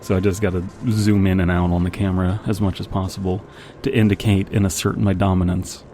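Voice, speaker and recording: A man's voice: deep voice, little pitch movement, a little fast, mellow, slight background noise. A man giving casual, rambling commentary as he plays a video game, with wry joking asides. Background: game music and gunfire.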